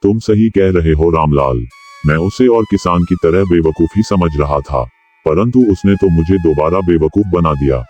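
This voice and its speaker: An actor voicing a greedy, scheming village landlord in a cartoon who is bossy and talks down to people. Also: male voice, low voice, moderate pace, darkish tone, faint music behind. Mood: scornful. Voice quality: bossy, scornful, talks down to the farmer.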